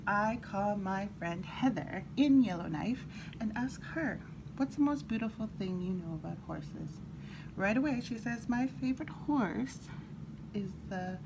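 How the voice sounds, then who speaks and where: A woman storyteller reading a children's picture book aloud in an animated, expressive way, with brief asides. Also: medium pitch. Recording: clearly audible background noise.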